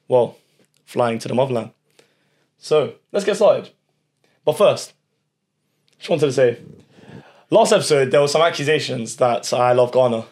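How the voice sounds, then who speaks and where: A man's voice: medium pitch, darkish tone. A man bantering young podcast host. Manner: joking, mock-serious, bantering. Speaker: podcast host asking questions. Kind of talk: casual banter.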